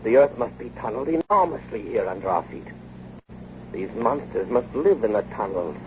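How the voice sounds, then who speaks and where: A man's voice: medium pitch, expressive, normal speed, close to an everyday voice. An actor playing an intense, restless male inventor, with insistent theatrical delivery in an old-time radio drama. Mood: grim, excited. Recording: noisy, muffled vintage radio recording.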